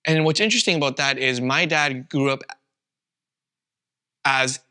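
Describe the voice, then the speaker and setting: A man from Canada, whose first language was Vietnamese, co-hosting a podcast in a relaxed, rambling chat. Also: medium pitch, measured pace, clean tone. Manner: relaxed, rambling, with many fillers. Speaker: podcast co-host. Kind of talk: casual chat.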